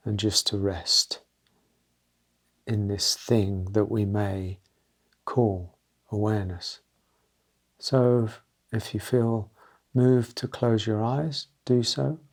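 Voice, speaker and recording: A man leading a guided meditation, talking gently and unscripted in short, halting phrases with pauses between them. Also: fairly low pitch.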